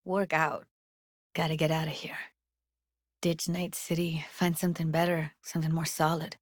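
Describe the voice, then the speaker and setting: A woman's voice: low-pitched, flat delivery, normal speed, no echo, studio quality. A woman playing a guarded, blunt but tender young techie in a video game, speaking in a casual, clipped, streetwise way. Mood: concerned, guarded. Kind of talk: naturalistic video game voice acting.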